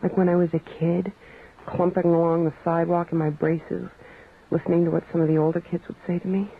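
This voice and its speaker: Wounded, weary wife, creaky and level in tone, naturalistic radio drama. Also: female voice, fairly low pitch, flat delivery, a little fast. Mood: hurt, drained. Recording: tinny, narrow radio recording, faint noise.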